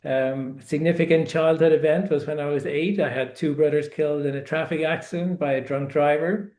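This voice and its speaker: An Irish man in his forties, a guest telling his life story in a relaxed, reflective way, often repeating words as he gathers his thoughts. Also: fairly high pitch, a little fast, reverberant. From Ireland. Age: 40s. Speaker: guest author. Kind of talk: casual interview storytelling.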